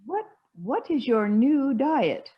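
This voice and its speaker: A bossy older society woman with a high voice, played by an actress. She holds forth in a grand, preachy manner, halting here and there for emphasis, as in a stage comedy. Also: big pitch swings, muffled recording, full character voice. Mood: earnest. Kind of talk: stage comedy acting over video call. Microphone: video call.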